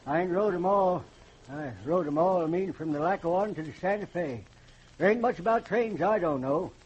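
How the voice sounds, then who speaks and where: An actor playing an old American hobo, genial and folksy, rambling in colloquial speech in a 1940s radio drama style. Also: male voice, sits high, a little fast, darkish tone, breathy edge, lo-fi sound. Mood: chatty, easygoing. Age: older. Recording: noisy vintage radio recording.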